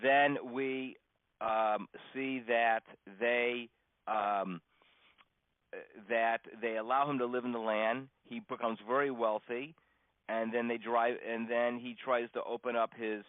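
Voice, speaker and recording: A man speaks as a rabbi telling stories in a relaxed, unscripted class. His delivery halts and doubles back, with stammered restarts and pauses. The sound is a dull, lo-fi conference line, very dry with no room around it. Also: medium pitch, no room sound. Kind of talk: informal religious lesson, unscripted storytelling. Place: teaching over a conference phone call.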